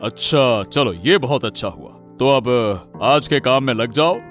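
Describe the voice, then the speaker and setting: A man voicing a fair-minded king in a cartoon moral story, unhurried, paternal and firm. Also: mid-range voice, animated, fairly bright tone, faint music behind. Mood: genial. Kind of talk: animated moral story acting.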